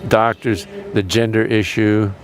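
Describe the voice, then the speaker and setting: A man interpreting a panel into English live, measured and pausing between phrases. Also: fairly low pitch, flat delivery, a crowd in the background.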